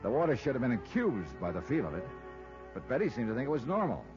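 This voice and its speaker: An actor with an American accent playing a wry, sardonic investigator in 1950s radio detective style. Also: male voice, mid-range voice, wildly swooping pitch, fast. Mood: wry narration. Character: wry, hard-boiled male insurance investigator. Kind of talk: 1950s radio detective acting, first-person narration. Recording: muffled old radio recording with music.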